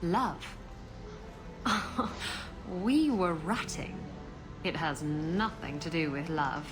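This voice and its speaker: A woman's voice: sits low, very slow, music in the background. A woman playing a worldly, guarded adventuress in a video game, dry, wry and flippant. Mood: dismissive.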